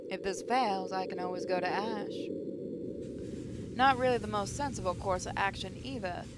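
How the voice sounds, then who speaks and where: A woman reading a visual novel aloud as expressive first-person story narration. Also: medium pitch, noisy background. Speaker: gaming channel host reading a visual novel. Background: guitar music.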